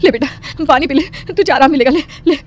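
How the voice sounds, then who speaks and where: A woman voicing a worried, motherly middle-aged village aunt in a horror cartoon, speaking in an expressive, questioning way. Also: sits high, a little fast, a little hiss or hum, lightly acted. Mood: anxious, soothing. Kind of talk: dramatic Hindi cartoon story dialogue.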